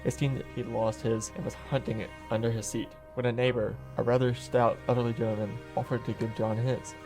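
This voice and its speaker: A man playing a bereaved brother in a radio drama, serious and measured with slight hesitations. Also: medium pitch, flat delivery, close to an everyday voice. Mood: grave. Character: grave bereaved brother recounting suspicions. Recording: faint music under the voice.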